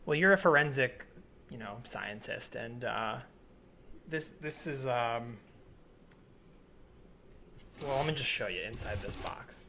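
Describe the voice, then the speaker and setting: A man's voice: medium pitch, animated, takes it slowly, pauses often. A man improvising a comedy scene as a sheepish, obsessive man asking a favour, rambling and hesitant. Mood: sheepish, earnest. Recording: remote recording with faint background noise.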